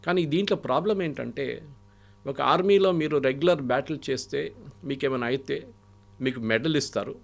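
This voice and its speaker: A man's voice: medium pitch, a little fast, vocal fry. A man with an Indian accent giving political analysis and anecdotes in an interview, switching between Telugu and English phrases. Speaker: guest commentator and analyst.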